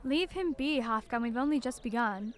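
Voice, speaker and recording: A woman's voice: on the high side, animated, moderate pace, close to an everyday voice. A woman playing a lonely, courteous young royal outsider in an amateur audio drama, speaking earnestly and formally. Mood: kindly.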